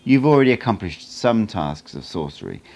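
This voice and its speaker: Man reading as old male sorcerer mentor, audiobook, low voice, cryptic, teasing, blunt, lively intonation. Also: normal speed, vocal fry, heavily acted. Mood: solemn, instructive. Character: old sorcerer teacher, jovial, mysterious, teasing, wise.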